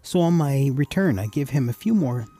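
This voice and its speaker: A man with a gravelly, husky voice giving a casual, explanatory tutorial voice-over as the host of a hobby channel. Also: medium pitch, expressive, moderate pace, mellow, faint music behind. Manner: casual, addresses viewers as guys.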